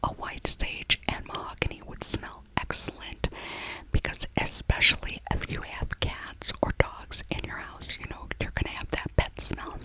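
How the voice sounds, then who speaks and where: A middle-aged woman whispering softly and breathily in a casual, rambling ASMR voiceover, chatty and often repeating phrases for emphasis. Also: normal speed, poor audio. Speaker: ASMR video creator.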